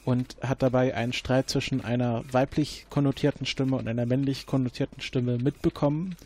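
A man's voice: mid-range voice, fairly even intonation, fairly quick, a little mellow. Hesitant man questioning a suspect. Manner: hesitant, many fillers, interrogating. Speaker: player. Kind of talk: playing an investigator in a tabletop game.

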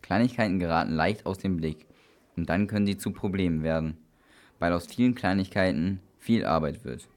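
A man's voice: low-pitched, normal speed. A man presenting scripted tips to children on the radio, speaking to the listener directly.

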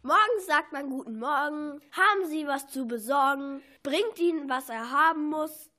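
A woman's voice: high voice, moderate pace, bright, forceful tone. A woman playing a mischievous bookworm from a picture book in a children's radio play, declaiming with relish. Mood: reciting with relish. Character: cheeky prankster bookworm from a classic picture book.

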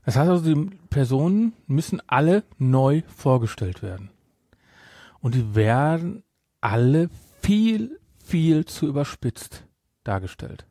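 A man's voice: a little high, sing-song, unhurried, warm tone, no room sound, studio quality. A middle-aged man hosting a podcast, talking casually and giving his opinions with emphatic repetition. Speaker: podcast host.

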